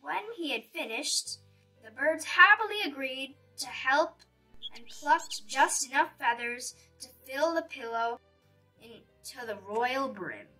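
A woman's voice: sits high, expressive, takes it slowly, pushed and bright, recorded from a distance, soft background music, rough recording. A woman reading a children's picture book aloud expressively, with dramatic storytelling emphasis.

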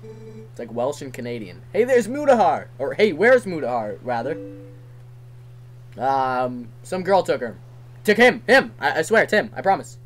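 Male gamer commentator, animated and self-mocking, game music under him. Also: on the high side, moderate pace. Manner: rambling, self-deprecating, swears. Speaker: let's play commentator. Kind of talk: casual gameplay commentary.

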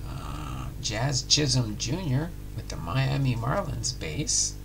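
A middle-aged man calmly calling out each trading card as he opens packs at home. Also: mid-range voice, creaky, noisy background. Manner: unhurried, announcing each card plainly. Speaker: card-breaking channel host. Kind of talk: casual pack-opening commentary. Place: home room with card displays.

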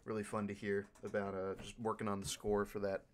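Low-voiced man talking casually. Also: monotone, fairly quick, a little mellow. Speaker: panelist, video editor for a fan dub group. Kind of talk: casual panel Q&A talk.

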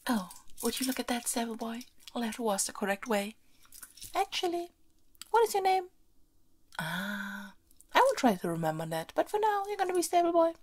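A woman playing a haughty, bossy but playful young princess in an ASMR roleplay, soft and breathy, often slipping into whispers. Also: on the high side, very animated, normal speed. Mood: playful, softening. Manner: imperious, teasing, repeats phrases insistently.

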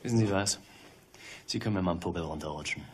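A man's voice: low-pitched, quick pace, noisy background, close to an everyday voice. Actor as an indignant, arrogant male suspect. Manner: irritated, haughty. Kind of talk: German radio crime drama acting.

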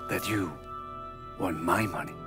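An actor playing a proud, menacing drug dealer who speaks formally and deliberately in a video game drama. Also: male voice, deep voice, loud music behind the voice. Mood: cold, demanding.

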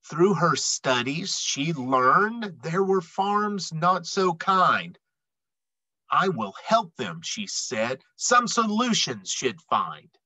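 A middle-aged man reading a children's picture book aloud expressively, with emphatic, dramatic phrasing and drawn-out words. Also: a little high, very animated, sharp tone, dry recording, poor audio. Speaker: read-aloud storyteller.

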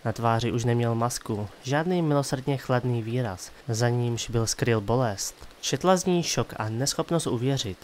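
A man's voice: mid-range voice, few pauses, a little mellow, a little hiss or hum. A man giving scripted dramatic narration of a fantasy story as the narrator of an audio drama. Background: music.

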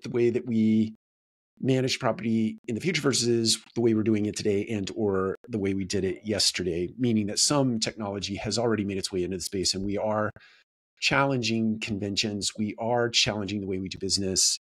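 A man talks to camera as a host, explaining in a reflective, deliberate way with repetition for emphasis. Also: fairly low pitch, fairly bright tone. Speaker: host of a business video series. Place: small home office, indoors.

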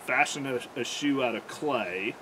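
A man's voice: mid-range voice, a little slow, pushed and bright. A man vlogging outdoors on a camping trip, chatting casually in a wry, mock-serious way into a camera microphone. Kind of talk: casual joking chat. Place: outdoors in the woods at a campsite. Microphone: camera microphone, a little away. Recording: outdoor camcorder audio with background noise.

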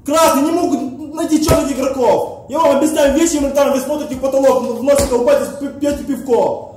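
High mellow guy ranting at the players, echoey room. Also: steady tone, quick pace. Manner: heated, scolding, lectures the table. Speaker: mafia game player. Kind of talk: heated game argument. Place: room with a round table, indoors. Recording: echoey room with faint hiss.

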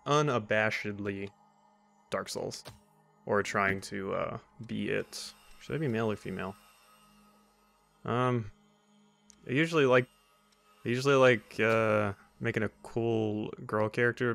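A man's voice: lowish voice, gravelly, fairly bright tone. A man giving casual, rambling gameplay commentary as a let's play host, with wry asides. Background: faint game music.

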